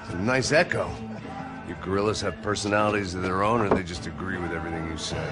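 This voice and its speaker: An actor playing a middle-aged, washed-up American ex-cop, delivering world-weary, deadpan noir narration and dialogue for a crime game. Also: male voice, low-pitched, fast talker, close to an everyday voice. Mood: dry sarcasm. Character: jaded, sardonic washed-up ex-cop turned bodyguard. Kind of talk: cinematic noir voice-over and dialogue. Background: loud music.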